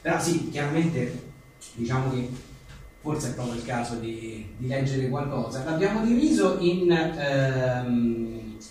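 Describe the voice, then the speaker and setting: A man's voice: mid-range voice, lively intonation, unhurried, a little mellow. A man being interviewed as an author at an informal book presentation, rambling animatedly and acting out imagined exchanges. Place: echoey indoor venue at a live event. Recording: very echoey room, distant mic, faint noise.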